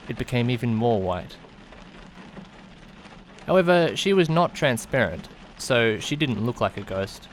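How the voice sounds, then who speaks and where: A man playing an anxious young student driver, narrating an eerie audiodrama in an expressive, brooding way with hesitant speech. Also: medium pitch, a little hiss or hum. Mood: uneasy wonder. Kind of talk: first-person dramatic narration, visual novel audiodrama.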